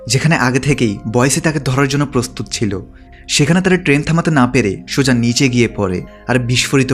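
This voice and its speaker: Fast-talking man narrating over music. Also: mid-range voice. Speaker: movie recap narrator. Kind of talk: scripted narration, plot recap.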